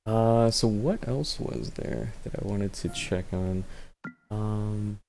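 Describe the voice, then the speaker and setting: Guy with a lowish voice doing a casual handheld gaming showcase, kinda rambly, music in the back. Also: takes it slowly, warm tone. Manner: rambling, thinking aloud. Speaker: video creator demonstrating handheld gaming.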